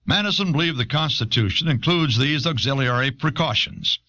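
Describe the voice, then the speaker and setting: A man narrating a scripted documentary in a measured, explanatory way, recorded on an old audio cassette. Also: a little high, bright, forceful tone.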